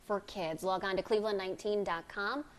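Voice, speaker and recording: A woman's voice: mid-range voice, fairly even intonation, a little fast. A woman with an American accent anchoring a television newscast. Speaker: news anchor. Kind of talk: broadcast news presentation.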